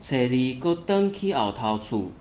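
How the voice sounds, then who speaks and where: A man's voice: medium pitch, very animated, unhurried, darkish tone, a little hiss or hum. A man playing a watchful deity who narrates a folk legend in a scripted storytelling style. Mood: storytelling, assured.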